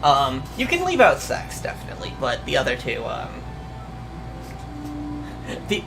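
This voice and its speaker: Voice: medium pitch, huge pitch swings, measured pace, rough recording. A young adult VTuber streamer chatting casually and answering viewer questions, rambling with lots of ums and the odd swear. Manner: rambling, hesitant, many fillers, mild swearing. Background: music playing.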